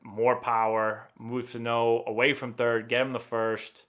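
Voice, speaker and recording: A young man hosting a live baseball stream, chatting casually and rambling excitably as he answers viewer questions. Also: medium pitch, a little slow. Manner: rambling and excitable, many fillers and restarts.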